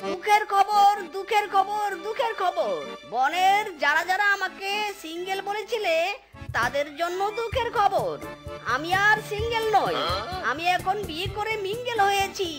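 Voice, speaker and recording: A woman acts as an excitable young male cartoon fox with a very shrill, high voice. He whines, exclaims and brags about himself. Music plays clearly behind him. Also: moderate pace. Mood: gleeful, boastful. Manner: whiny, exclamatory, swaggering. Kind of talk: exaggerated Bangla cartoon acting.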